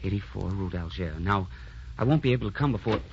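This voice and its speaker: An actor playing a glib, evasive young gentleman, breezy but hesitant, in old-time radio drama style. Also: low-pitched, animated, fast, vocal fry. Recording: dull, noisy old radio recording.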